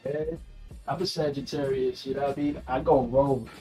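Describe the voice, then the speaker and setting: A man's voice: medium pitch, fairly even intonation, brisk, warm tone, soft background music. A man chatting casually in an interview, laid-back and slangy, laughing often and filling with "you know what I mean.". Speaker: rapper guest.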